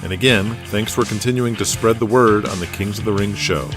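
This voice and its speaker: A man's voice: fairly low pitch, a little fast. A man reading scripted dramatic narration as the narrator of an audio drama. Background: music.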